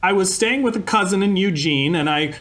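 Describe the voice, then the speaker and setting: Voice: mid-range voice, brisk, fairly bright tone, lightly acted. A performer playing a chatty old-time radio host who drifts into travel stories, over a video call. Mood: rambling.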